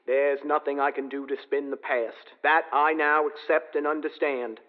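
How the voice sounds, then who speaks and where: An actor playing a deposed king on trial, speaking verse in a deliberate, declamatory, contrite yet defiant way for a radio drama. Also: a little high, flat delivery, lightly acted. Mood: solemn, contrite. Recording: tinny phone-like sound, long hall reverb.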